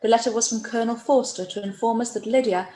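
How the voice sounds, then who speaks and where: A woman playing a gentle, earnest young gentlewoman voicing her own letter in a period radio play, tender and measured. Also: fairly high pitch, fairly even intonation, close to an everyday voice. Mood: anxious. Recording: roomy echo, faint background noise.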